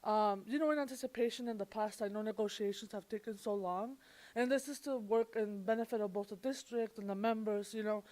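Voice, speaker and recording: A woman's voice: mid-range voice, steady tone, fairly quick, a little mellow, low-quality recording. A labor relations representative, a woman, speaking to a school board in a casual way with many fillers. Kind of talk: public comment.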